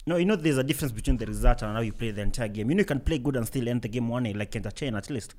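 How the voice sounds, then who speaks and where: A Kenyan man speaks very quickly at a medium pitch. He chats casually about football as a co-host, pushing back and debating. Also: darkish tone. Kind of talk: casual sports banter.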